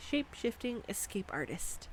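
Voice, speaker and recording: A voice actress performing a scripted girlfriend roleplay, bubbly and playful as she gushes excitedly over facts at a home mic. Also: a little high, moderate pace, a little hiss or hum. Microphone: studio condenser mic.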